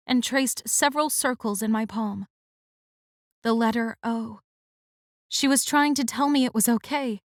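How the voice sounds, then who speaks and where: Higher-voiced woman narrating, dry and close. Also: no echo. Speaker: audiobook narrator. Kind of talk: first-person romance audiobook narration.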